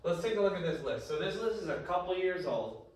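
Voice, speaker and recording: A man's voice: high-pitched, lively intonation, a little fast. A man teaching a history lesson in casual, joking conversation, quizzing a student. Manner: jokey, conversational, quizzing questions. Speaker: high school history teacher. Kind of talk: casual one-on-one classroom teaching. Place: classroom. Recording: echoey room, far-off mic, background noise.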